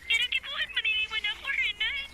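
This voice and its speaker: A woman's voice: very high-pitched, quick pace. An actress with a Cebuano Bisaya accent playing a hurt, reproachful young student girlfriend in a radio drama. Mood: hurt, upset. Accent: Filipino, Cebuano Bisaya. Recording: very noisy audio with bird chirps.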